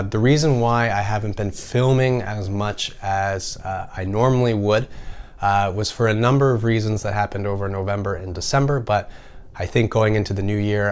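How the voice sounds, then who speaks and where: A man with a slightly raspy voice from a recent cold, talking casually as the host of an informational vlog. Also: sits low. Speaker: personal finance video host.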